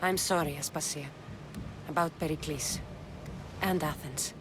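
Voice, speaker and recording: A woman's voice: low voice, fairly even intonation, unhurried, noisy background. Determined woman warrior. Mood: remorseful. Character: fierce, protective Greek mercenary woman hero. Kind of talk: dramatic video game acting. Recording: dramatic music behind the voice.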